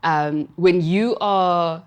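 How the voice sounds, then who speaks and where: A woman's voice: lowish voice, very slow, clear, resonant tone. A woman hosting an interview, asking curious follow-up questions and nodding along with short affirmations. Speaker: interviewer, podcast host. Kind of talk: conversational interview.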